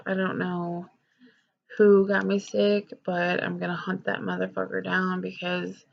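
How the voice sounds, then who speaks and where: A woman's voice: fairly low pitch, monotone, unhurried, creaky, highs cut off. A young woman talking candidly in a personal vlog, rambling with lots of fillers and swearing, her voice nasal and stuffed up from a cold. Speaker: vlogger.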